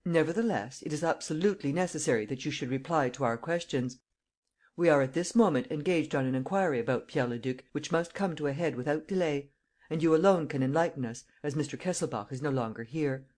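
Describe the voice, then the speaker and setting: A reader voicing a calm, commanding police chief who questions in a measured, authoritative way. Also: mid-range voice, lightly acted. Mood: firm.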